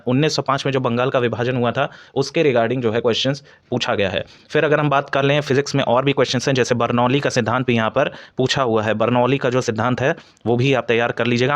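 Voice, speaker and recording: A man with a medium-pitched voice speaks as a coaching teacher. He explains informally to students, repeating himself and pausing to search, and mixes English terms into his talk. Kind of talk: informal exam analysis addressed to students.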